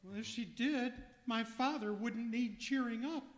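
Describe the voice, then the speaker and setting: Woman acting a high-pitched, naive young man. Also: measured pace, close to an everyday voice. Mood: earnest. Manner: innocent, earnest, deadpan. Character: naive, polite young man shopping for his mother. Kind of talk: old-time radio comedy stage acting. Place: live performance in a museum hall. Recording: reverberant hall, live stage recording.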